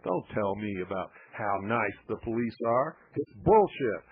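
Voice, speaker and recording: A man's voice: on the low side, big pitch swings, measured pace, breathy edge. A man hosting a call-in radio talk show, speaking bluntly and growing heated. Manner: blunt, heated when riled. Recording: tinny shortwave radio broadcast.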